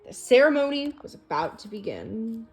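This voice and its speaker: A woman reading a children's storybook aloud in an expressive storytelling manner. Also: on the high side, normal speed, a little hiss or hum, poor audio. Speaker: storybook reader.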